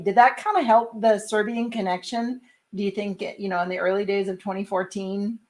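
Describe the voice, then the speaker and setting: A woman's voice: medium pitch, a bit bright. A woman hosting an interview, talking casually and familiarly and wandering through long, chatty questions. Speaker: podcast host, interviewer.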